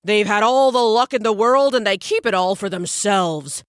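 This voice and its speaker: A woman voicing a stern, angry male king of the gods who rumbles and scowls. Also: moderate pace, smooth, no room sound, clean studio sound, close to an everyday voice.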